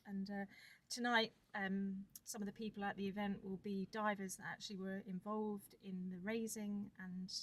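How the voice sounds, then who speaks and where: A woman's voice: medium pitch, flat delivery, moderate pace, hardly any pauses, fairly bright tone. Female co-host, informative casual chat outdoors, slightly distant from the mic. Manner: informative, explaining the plan. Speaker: podcast co-host. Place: outdoors by the sea on a windy day.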